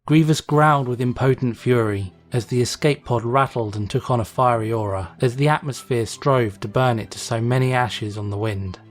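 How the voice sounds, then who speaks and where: A man narrating a story as a scripted, dramatic reading. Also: mid-range voice. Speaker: fan fiction reader, narrator. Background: music.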